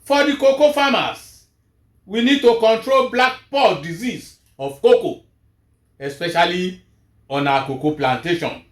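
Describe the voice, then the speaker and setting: A man, a Yoruba speaker, giving a measured, emphatic farm advisory talk as host of a farming show. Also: high-pitched, lively intonation, roomy sound. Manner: measured, emphatic, rising then falling at each phrase.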